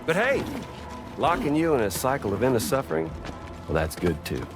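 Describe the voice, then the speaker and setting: An actor playing a sadistic, playful game villain who taunts in a drawn-out, theatrical way. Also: male voice, mid-range voice, very animated, over music, natural voice. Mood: gleeful taunting. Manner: taunting, drawn-out, swooping delivery.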